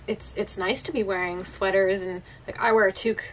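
A woman's voice: medium pitch, fairly even intonation, fairly quick, far from the mic, slight background noise, low-quality recording. A young woman who lives on a sailboat, chatting casually, often saying "like" and laughing lightly, inside a small boat cabin. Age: young adult. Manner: chatty, giggly, uses "like" often. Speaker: sailboat-living vlogger. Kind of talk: casual chat.

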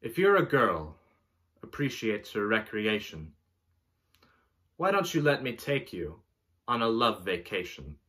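Slow, theatrical young man reciting verse. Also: lowish voice, very animated, a bit bright. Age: 20s. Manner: theatrical, deliberate, pompous delivery. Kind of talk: mock-dramatic poetry reading. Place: small bedroom, indoors.

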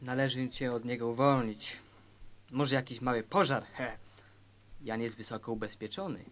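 A man's voice: medium pitch, normal speed, natural voice. A man playing a scheming fireman in his early thirties in a comic crime radio play, conspiratorial and coolly persuasive. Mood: sly suggesting.

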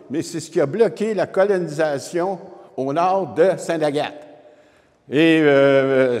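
A man's voice: a little high, darkish tone. A man in his seventies with a Quebec French accent gives an informal, explanatory history lecture to an audience through a handheld microphone. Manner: explanatory, addresses the audience directly. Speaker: historian giving a public lecture. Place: indoor lecture room with wood panelling. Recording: reverberant hall sound.

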